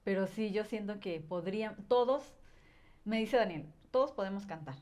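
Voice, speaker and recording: A woman's voice: medium pitch, normal speed, dark tone. A woman, a language educator and podcast guest, explaining at length in casual conversation with frequent filler words.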